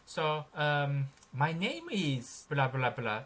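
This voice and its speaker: A man in his thirties with a Japanese accent, a halting English learner in an online lesson, pausing often with fillers. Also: a little high, big pitch swings, a bit bright, a little hiss or hum. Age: 30s. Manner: hesitant, halting, frequent fillers.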